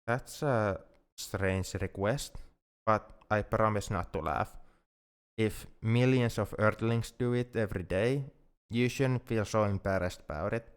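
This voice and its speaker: Man playing a slow, low-voiced, tender alien. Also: clear, resonant tone, lightly acted. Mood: gentle, reassuring. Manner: halting, repeats words, gentle. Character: shy, tender, awkward male alien in love. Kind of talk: intimate ASMR roleplay.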